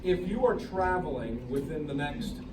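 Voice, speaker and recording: A man's voice: a little high, expressive, moderate pace. A man in his sixties, from the Philippines, speaking as a ceremony official, explaining things step by step to an audience in a large room. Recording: echoey room from far off, loud background noise.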